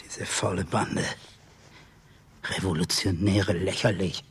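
A low-voiced, weary and sullen husband in a radio drama. He grumbles in short, contemptuous phrases. He sounds set back from the microphone, with noticeable background ambience. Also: fairly even intonation, slow, recorded from a distance, slight background noise. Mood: contemptuous grumbling. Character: weary, sullen married secret agent, brooding husband.

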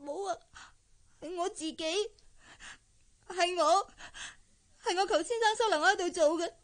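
An actress playing a humble young housemaid in a radio drama, timid and plaintive, with a Hong Kong accent. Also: female voice, extremely high pitch, moderate pace, a bit bright. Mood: frightened, pleading. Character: meek, humble young housemaid from a poor family. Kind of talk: Cantonese radio drama acting.

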